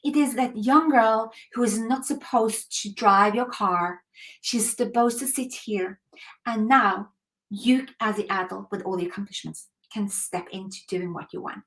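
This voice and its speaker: A woman's voice: medium pitch, a little slow, a bit bright, low-quality recording. Earnest woman coaching a live call. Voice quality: clears throat often. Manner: earnest, emphatic motivational talk with rhetorical questions. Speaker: host of a coaching webinar.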